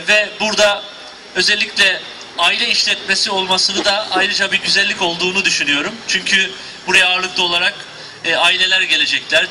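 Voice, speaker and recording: A man, a local official, giving a formal, measured ceremonial speech outdoors into a handheld mic. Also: high voice, bright, forceful tone, recorded from a distance, slight background noise, low-quality recording. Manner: formal, measured, many pauses. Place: outdoors at a shop opening.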